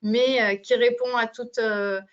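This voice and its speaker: A woman's voice: a little high, steady tone, unhurried, clean tone. A woman hosting a webinar, speaking informally as she gives thanks and announcements. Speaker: webinar host for a medical device company. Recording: muffled, band-limited webinar audio.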